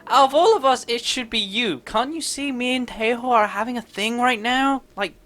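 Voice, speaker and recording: A woman's voice: fairly high pitch, normal speed, a bit bright, faint music behind. A woman doing casual, playful and reactive commentary while playing a video game.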